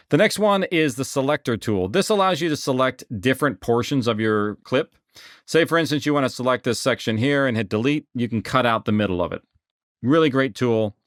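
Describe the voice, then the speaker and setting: Male tutorial narrator, medium pitch, informal and explanatory, dry recording. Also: no echo. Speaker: software instructor. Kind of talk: casual screen-share tutorial narration.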